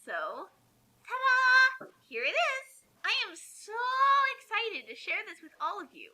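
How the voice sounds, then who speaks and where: A woman's voice: very high voice, wildly swooping pitch, measured pace, pushed and bright, voice pushed up, full character voice. A woman voicing a naive, sugary, pious mother who gushes as she reads out her author's note. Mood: perky, excited. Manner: sugary, exclamatory, earnestly silly. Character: gushing, naive, pious young mommy author.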